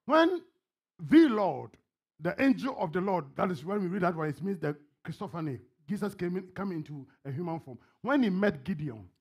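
A Ghanaian man preaches in a very high, husky voice with wide swings of pitch. He is fervent and emphatic, often shouting, and breaks his sermon into short repeated phrases aimed at the congregation. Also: sing-song, moderate pace. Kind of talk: fervent, call-and-response sermon with pauses for interpreter. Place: church hall, on stage before a congregation.

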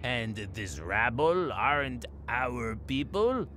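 A man's voice: medium pitch, big pitch swings, takes it slowly, bright, forceful tone, slight background noise, full character voice. An actor playing a bored, haughty alien military commander in his fifties, weary and disdainful, in a science-fiction audio drama. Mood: scornful. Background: music.

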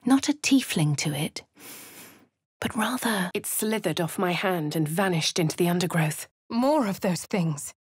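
An actress voicing a posh, haughty female adventurer with a posh English accent, speaking in a cool, measured way in game voice lines. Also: fairly low pitch, lively intonation, slightly rough, no echo, studio quality, exaggerated voice. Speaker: player character. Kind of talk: video game voice lines and narration.

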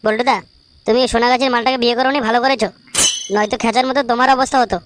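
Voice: mid-range voice, fairly even intonation, very fast, background noise, lightly acted. A performer voicing a cheeky, gossipy young male sidekick in a comic cartoon dub.

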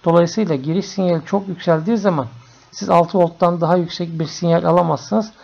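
Mellow, creaky-voiced man explaining. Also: sits high, steady tone, a little fast. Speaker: electronics tutorial presenter. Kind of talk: informal explanatory screencast narration. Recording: dull, lo-fi screencast audio.

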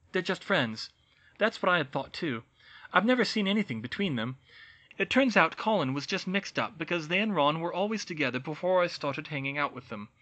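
A man's voice: a little high, pushed and bright. A man voicing a shy young schoolgirl reading out her earnest, reassuring letter home in a storybook.

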